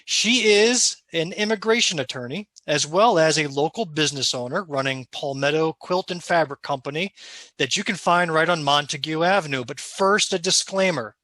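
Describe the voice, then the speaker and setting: Bright-voiced man hosting a Q&A. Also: a little high, no echo. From New York. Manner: friendly, slightly halting. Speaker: host, party member. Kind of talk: introducing and interviewing a guest.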